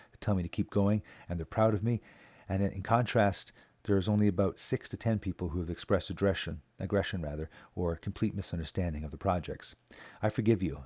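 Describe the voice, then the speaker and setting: Earnest, low-voiced man. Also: mellow, dry recording. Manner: earnest, plain-spoken, with frequent fillers and restarts. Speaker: local community advocate. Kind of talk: casual spoken video update.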